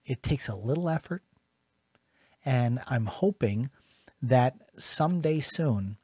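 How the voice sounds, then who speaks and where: A man's voice: mid-range voice, a little mellow, breathy edge, no echo. Earnest American man, close dry mic. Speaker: YouTube host. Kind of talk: casual direct-to-camera vlog talk. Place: small dim home studio room, indoors. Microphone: studio microphone on boom arm, close.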